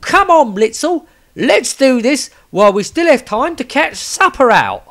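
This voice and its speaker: A man's voice: very high-pitched, sing-song, unhurried, fairly bright tone, voice pushed up, exaggerated voice. A man voicing a jolly fat wizard in a fantasy storybook, exclamatory and colloquial. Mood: eager, brash. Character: jolly fat wizard, keen on his supper.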